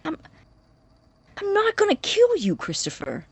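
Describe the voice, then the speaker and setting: A woman playing a nervous, awkward young scientist in a naturalistic audio drama, husky and halting, easily flustered. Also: extremely high pitch, wildly swooping pitch, no echo, exaggerated voice. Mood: shocked, indignant. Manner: halting, stammering, indignant when challenged.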